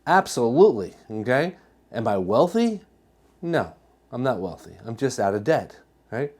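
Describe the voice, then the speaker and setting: A man's voice: mid-range voice, big pitch swings, moderate pace, a little mellow, breathy edge. An American man presenting an educational health talk as a chiropractor, emphatic, asking rhetorical questions and repeating his points. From New Jersey, United States.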